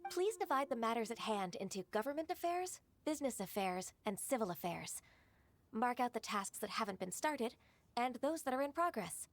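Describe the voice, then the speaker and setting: A woman voicing a gentle, dutiful, long-lived female secretary in a video game, soft, polite and earnest. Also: on the high side. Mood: composed, polite.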